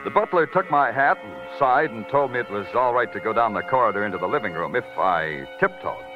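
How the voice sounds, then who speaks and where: Man acting wry, lively hardboiled radio detective. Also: fairly low pitch, brisk, over music, lightly acted. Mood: wry narration. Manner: sardonic, literary narration. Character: wry, hardboiled New York police detective. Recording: dull vintage 1940s radio recording.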